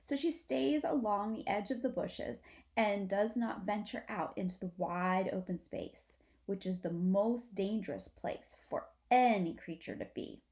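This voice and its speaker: A woman's voice: medium pitch, unhurried, a little mellow. A woman, a teacher, narrates a children's story aloud for her students.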